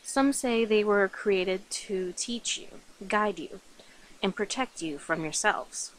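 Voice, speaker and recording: A woman acts out an ancient female vampire in an ASMR roleplay, speaking slowly at a medium pitch. She confides coyly in her human lover, leaving pauses between short phrases. There is noticeable noise behind the voice. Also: close to an everyday voice, poor audio. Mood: solemn storytelling. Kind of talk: ASMR roleplay, addressed to the listener.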